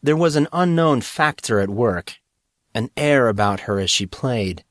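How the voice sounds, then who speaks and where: A man's voice: medium pitch, expressive, unhurried, a bit bright, no echo. Man narrating fiction, dry close sound. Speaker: audiobook narrator. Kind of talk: scripted fiction narration with acted dialogue.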